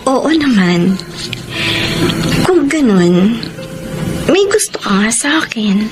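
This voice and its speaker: A kind Filipina in a radio love story. Her voice is fairly high, and she talks softly, sincerely and with care. There is clear music behind her. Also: sing-song, takes it slowly. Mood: tentative. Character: gentle, frank Filipina woman, kind close friend. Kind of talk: Tagalog radio romance drama acting.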